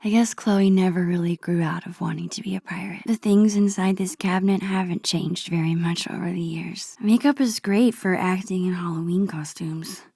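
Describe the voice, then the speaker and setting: Breathy, hushed young girl adventurer. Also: lowish voice, fairly even intonation, moderate pace, hardly any pauses, no echo. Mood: wistful, musing. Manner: musing to herself, understated, dryly playful. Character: soft-spoken, wistful, nerdy young girl adventurer. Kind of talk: video game character monologue.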